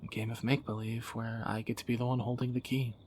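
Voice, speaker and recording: A man's voice: mid-range voice, flat delivery, quick pace, a little mellow, a little hiss or hum, natural voice. A man playing a tender, wry young thief in a romantic audio roleplay, teasing and intimate, often dropping toward a whisper. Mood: wry, musing. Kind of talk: romantic audio roleplay, one side of a dialogue.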